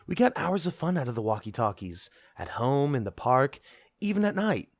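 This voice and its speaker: A man narrating a scripted first-person story in an expressive, earnest, boyish manner. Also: medium pitch, moderate pace, dry recording.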